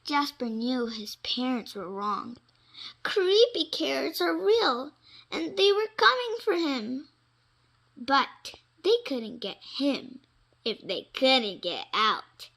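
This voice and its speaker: A woman reading children's stories aloud in an expressive, dramatic way, with suspenseful pauses. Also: sits high, vocal fry, rough recording. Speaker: storybook reader.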